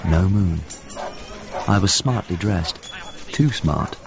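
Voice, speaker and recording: A man's voice: sits low, expressive, slow, over music, exaggerated voice. An actor playing an earnest, anxious painter who narrates his search for a friend in a radio drama, in clipped fragments. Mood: sombre, reflective. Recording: dull lo-fi radio drama, clearly noisy background.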